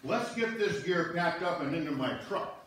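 A man's voice: on the high side, expressive, moderate pace, a bit bright, a little hiss or hum. A man playing an eager, easygoing ice fisherman with a hearty, folksy manner in an amateur stage reading. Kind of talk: amateur reader's theater, read from script. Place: on stage in a church, audience seated. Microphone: far camera. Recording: echoey church hall, distant camera.

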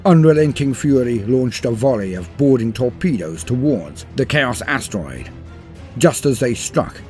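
A man reading a scripted war story as a dramatic narrator, grave and theatrical, in measured phrases. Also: medium pitch, darkish tone. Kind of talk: dramatic scripted lore read-through. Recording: voice-over with music behind. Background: music.

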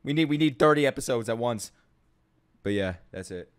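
A young man reacting to an anime from his home streaming setup, his voice leaping up and down as he gets worked up. He swears freely and bursts into shouts and laughter. He sits close to a desk mic on a boom arm. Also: animated, normal speed, clean tone. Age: young adult. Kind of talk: casual reaction commentary. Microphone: boom-mounted desk mic, wearing headphones.

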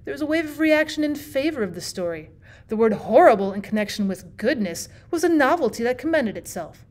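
A woman with a slightly high voice narrates an audiobook. She reads from a script as a teacher would when reading aloud to a class. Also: very animated, fairly quick, a little mellow.

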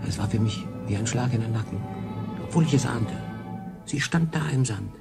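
An actor playing a melancholy human castaway in a sci-fi radio drama, halting and emotional in his delivery. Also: medium pitch, vocal fry, loud music behind the voice, close to an everyday voice. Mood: grieving, brooding. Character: melancholy, guilt-ridden human castaway man on island.

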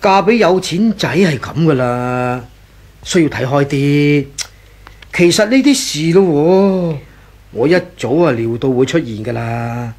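A man's voice: fairly high pitch, unhurried, darkish tone. An actor playing a hesitant, gossiping employee in a 1980s Hong Kong Cantonese radio drama, stammering and sighing as he feigns reluctance. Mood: insinuating.

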